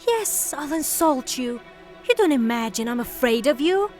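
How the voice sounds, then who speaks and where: A woman's voice: high-pitched, animated, moderate pace, a little mellow, faint music behind. An actress playing a proud, fiery widowed lady in a theatrical audio drama, haughty and declamatory. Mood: scornful, furious.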